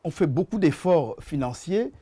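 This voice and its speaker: A man with a Gabonese French accent, a musician and producer speaking as a guest in a TV studio interview, halting and often repeating his words. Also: on the high side, expressive, warm tone, slightly rough, no room sound.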